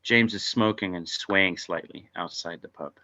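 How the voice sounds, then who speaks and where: Deep, bright-voiced man reading stage directions. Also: normal speed, dull audio. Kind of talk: scripted narration at a table read.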